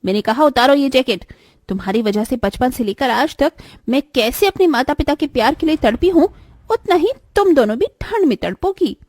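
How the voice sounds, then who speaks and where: A woman's voice: high-pitched, expressive, a little fast, darkish tone, no echo. A woman voicing a bitter, domineering young elder stepsister in a cartoon moral story, with an emphatic, dramatic delivery. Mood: angry, scolding.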